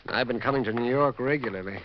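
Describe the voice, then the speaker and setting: An actor playing an anxious, lovelorn man in an old radio drama, speaking in an earnest, pleading, halting way. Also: mid-range voice, natural voice. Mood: agitated. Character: anxious, lovelorn man searching for his missing fiancée. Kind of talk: 1940s-50s radio drama acting. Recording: dull, hissy vintage radio recording.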